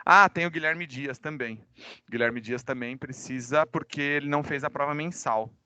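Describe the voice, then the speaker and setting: Brazilian male teacher, forceful bright tone, dry close sound, slightly under the weather with a cold, informal and conversational with his online class. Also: a little high, normal speed, clear, resonant tone, no echo. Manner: informal, conversational, addresses students directly. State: recovering from a cold. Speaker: high school physics teacher. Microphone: microphone.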